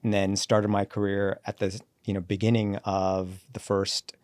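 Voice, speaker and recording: A man in his forties, a company CEO born in Sri Lanka and raised in Canada, telling his career story in a relaxed podcast interview and acting out the dialogue he quotes. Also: on the low side, no room sound. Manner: reflective, brisk storyteller who acts out quoted dialogue.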